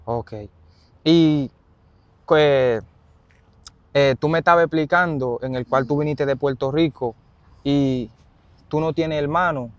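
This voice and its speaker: A man conducting a casual interview outdoors, phrasing his questions in a halting, roundabout way. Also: fairly high pitch, clean tone, no room sound. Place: outdoors in an open park.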